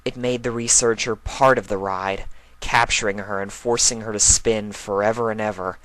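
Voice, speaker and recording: A performer of unclear sex voicing a grown-up mare, gently firm, telling a cautionary tale in a cartoon pony story. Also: mid-range voice, fairly even intonation, moderate pace, no echo, lightly acted, low-quality recording. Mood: ominous.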